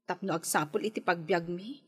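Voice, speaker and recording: An actress playing a long-suffering, worried, nagging wife in a radio drama, husky, with an Ilocano Filipino accent. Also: female voice, fairly low pitch, fairly quick, a bit bright. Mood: weary, worried. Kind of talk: Philippine radio drama acting.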